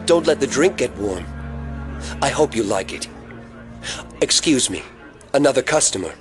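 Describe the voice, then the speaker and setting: An actor playing an eerily polite man with quiet menace in an audio drama. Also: mid-range voice, animated, normal speed, over music, close to an everyday voice. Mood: cool, knowing.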